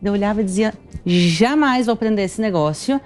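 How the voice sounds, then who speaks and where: A woman's voice: medium pitch, lively intonation, fairly quick, darkish tone. A woman in her thirties with a Porto Alegre accent from southern Brazil, telling stories conversationally as an interview guest. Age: 30s. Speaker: tour guide and YouTuber guest.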